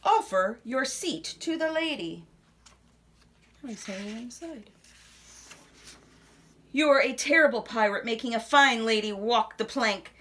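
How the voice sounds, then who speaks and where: A woman reading a children's picture book aloud expressively, with dramatic pauses and hushed asides. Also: a little high, a little hiss or hum.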